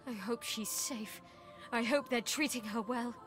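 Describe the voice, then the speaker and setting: A woman's voice: on the high side, a little slow, natural voice. A woman playing an anxious, worried character in a fantasy video game. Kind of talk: video game dialogue acting. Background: game music.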